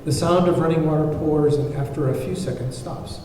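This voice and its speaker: A man narrates a screenplay's scene directions at a table read. His voice is mellow and medium in pitch. He is distant from the mic in a heavily reverberant room with faint noise. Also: steady tone, a little fast. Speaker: narrator reading stage directions. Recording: very echoey hall, far mic, faint noise.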